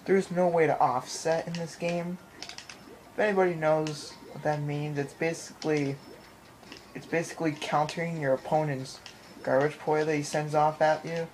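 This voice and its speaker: A man's voice: fairly high pitch, fairly even intonation, moderate pace, slight background noise, low-quality recording. A man giving casual, rambling gameplay commentary, often repeating his phrases, recorded on a handheld camera in a room. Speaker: gamer recording his playthrough. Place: indoor room in front of a TV. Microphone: handheld camera or phone filming the screen.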